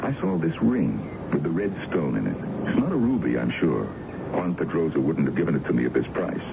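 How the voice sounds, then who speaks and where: An actor playing an older, smooth-talking colonel, courtly and coaxing, in a 1970s radio drama. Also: male voice, very deep voice, sing-song, brisk, heavily acted. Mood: fond, reassuring. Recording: noisy, dull 1970s radio broadcast recording.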